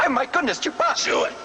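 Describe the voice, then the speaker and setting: A man's voice: extremely high pitch, long reverb tail, background noise. A man playing a fussy, anxious protocol robot in a radio drama, prim and flustered as he exclaims.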